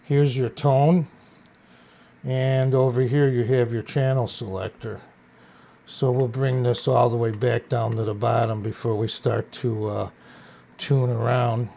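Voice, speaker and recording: A man casually presenting an old radio as a collector, partly reading from notes in a halting way and stumbling over words. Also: mid-range voice, fairly even intonation, vocal fry, warm tone.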